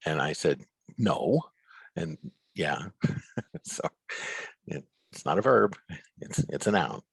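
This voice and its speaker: Very deep-voiced, husky man in conversation. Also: huge pitch swings, slow, no echo. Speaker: guest author. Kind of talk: reflective interview conversation over video call. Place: remote video call.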